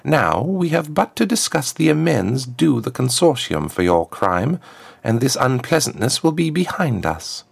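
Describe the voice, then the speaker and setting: A man's voice: mid-range voice, sing-song, measured pace, pitched above the performer's own voice, exaggerated voice. A man voicing a smug, formal woman ambassador in a story, with silky menace in a lifted voice. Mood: coolly accusing. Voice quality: smooth, lifted contralto. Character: smug, haughty, athletic woman ambassador and villain.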